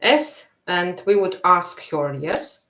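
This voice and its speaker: A woman with a Latvian accent teaching a live language lesson, slowly repeating phrases for her learners. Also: fairly low pitch, animated, fairly bright tone, echoey room.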